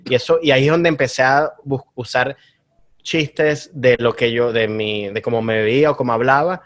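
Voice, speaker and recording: A man with a Venezuelan accent, a stand-up comedian chatting as an interview guest in a relaxed, rambling way. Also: medium pitch, normal speed. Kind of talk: casual conversation.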